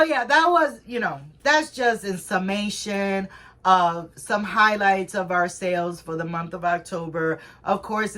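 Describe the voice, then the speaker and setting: A woman's voice: medium pitch, fairly bright tone. A woman co-hosting a casual reselling chat, talking in a rambling way with lots of fillers and restarts. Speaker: co-host of a reselling channel.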